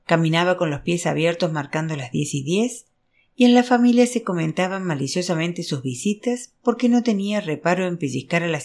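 Audiobook narrator, medium pitch, scripted literary reading of a novel. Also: a little mellow. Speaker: audiobook reader.

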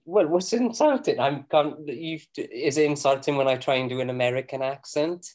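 A man's voice: on the high side, normal speed, darkish tone. A man co-hosting a casual beer tasting podcast, chatty and self-deprecating, often restarting his sentences. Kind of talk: casual chat reviewing beer.

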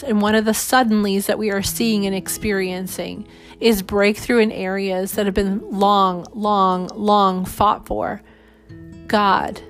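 A woman leading a spoken congregational prayer in an earnest, pleading way, often repeating "God" and "Lord.". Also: mid-range voice. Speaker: prayer leader. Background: soft guitar music.

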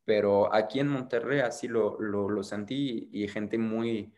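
Low-voiced French man, hesitant. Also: fairly even intonation, measured pace, darkish tone. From France. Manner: hesitant, rambling, many restarts and fillers. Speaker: interview guest, content creator. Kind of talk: casual conversational interview.

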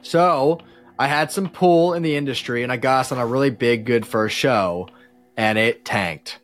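A man's voice: medium pitch, normal speed, soft background music. A man chatting casually as a podcast guest, telling stories about his life as a musician in a slangy, rambling way with frequent false starts.

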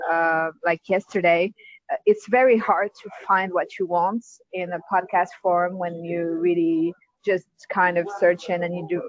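A woman's voice: lowish voice, dull audio. A young woman whose first language is Arabic, chatting on a call as a podcast listener, hesitant with many fillers and restarts. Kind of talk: casual call-in chat.

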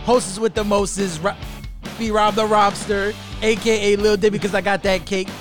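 A high-voiced man hosts a loose podcast in a hyped, slangy emcee style, and he jokes freely with his co-hosts. Faint music plays behind him. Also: normal speed. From the Bronx, New York. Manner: hype, slangy, animated emcee delivery. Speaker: podcast host. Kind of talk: casual podcast banter.

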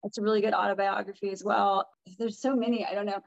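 A woman with a raspy voice, chatting as a guest in an interview, rambling and restarting her sentences. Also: medium pitch, level tone, fast talker, muffled recording. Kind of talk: casual interview talk.

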